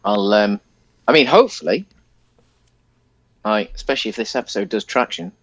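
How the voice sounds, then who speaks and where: A man's voice: on the low side, lively intonation, normal speed, a bit bright, slightly rough, dry recording. A British man co-hosting a podcast, chatting casually about a film in a hesitant, rambling way. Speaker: podcast co-host.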